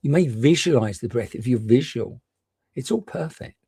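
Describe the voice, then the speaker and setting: A man gently and reassuringly guiding a meditation, leaving unhurried pauses between short phrases. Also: mid-range voice, expressive, husky, no echo, clean studio sound. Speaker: meditation guide. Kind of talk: guided meditation.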